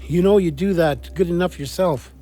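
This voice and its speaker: High-voiced, mellow, reassuring friend played by a man. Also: moderate pace, soft background music, lightly acted. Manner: reassuring, colloquial. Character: encouraging, supportive male friend. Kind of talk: audio play acting.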